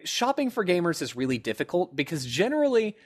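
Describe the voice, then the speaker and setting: Young male podcast co-host, a bit high-pitched, chatty and joking, trips over his own sentences. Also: sing-song, fast talker. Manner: rambling, self-interrupting, joking. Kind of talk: casual chat among friends.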